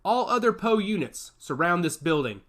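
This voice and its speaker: Picture a blunt soldier commander giving brisk orders in a raised, lively voice, as a man reads him out. His tone is bright and forceful, and he keeps a lot of movement in his pitch. It sounds like a character voice in a read-aloud story. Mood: commanding. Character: commanding male soldier squad leader, blunt. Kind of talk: audiobook character voice.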